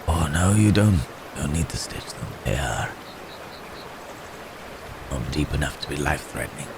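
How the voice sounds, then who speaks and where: A man playing a gentle, troubled wounded man cursed as a werebeast in an ASMR roleplay, speaking haltingly in formal, old-fashioned phrases with sighs. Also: very deep voice, animated, background noise. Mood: pained.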